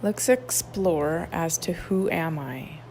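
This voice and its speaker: Slow-paced woman guiding meditation, noisy background. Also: deep voice, darkish tone. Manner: unhurried, instructive, with long pauses. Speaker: meditation guide at a wellness retreat. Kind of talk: guided meditation, read aloud softly. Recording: clearly noisy ambient background.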